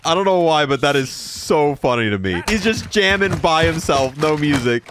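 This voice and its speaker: A man with a fairly high voice gives casual gameplay commentary as a video host. His pitch swings up and down a lot, and his delivery is informal and playful. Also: expressive, moderate pace, background noise.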